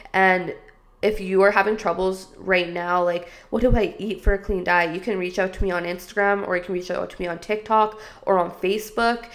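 A woman's voice: medium pitch, a bit bright. Chatty, stuffy-nosed woman podcast host. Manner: chatty and upbeat, with many "like" fillers. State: stuffy nose. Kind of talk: casual solo podcast advice.

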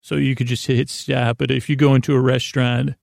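A man hosting a bedtime sleep podcast, rambling in a halting, stammering way and often trailing off mid-thought. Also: medium pitch, flat delivery, darkish tone, dry recording, studio quality. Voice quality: gravelly, creaky. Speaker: podcast host.

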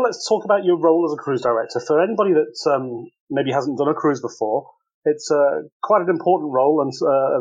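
A man hosting a podcast interview, brisk and upbeat. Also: mid-range voice, lo-fi sound. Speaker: podcast host.

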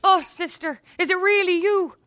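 A pious old-fashioned mammy, played by a woman, earnest and quaint in her phrasing. The voice is high and bright, close and dry. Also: moderate pace, no room sound, soft background music. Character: pious Irish farm mother, old-fashioned.

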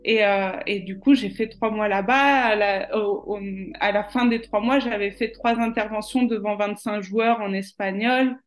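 A woman's voice: medium pitch, fairly even intonation, normal speed, few pauses, faint music behind. A woman guest in a podcast interview, telling her story casually, with lots of hesitations and restarts. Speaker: nutritionist guest.

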